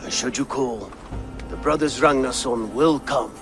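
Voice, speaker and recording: An actor playing a Viking warrior who earnestly offers friendship. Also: male voice, mid-range voice, a little slow, lightly acted. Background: music.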